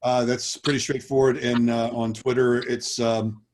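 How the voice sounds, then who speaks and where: An actor with dry, self-mocking humor, talking casually on a video call. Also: male voice, medium pitch, level tone, moderate pace, a little mellow.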